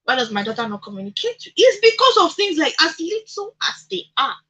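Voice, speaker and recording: A woman's voice: very high-pitched, big pitch swings, fast, pushed and bright. A woman in a conversational radio discussion, opinionated, telling stories with quoted dialogue and rhetorical questions. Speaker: radio talk show guest.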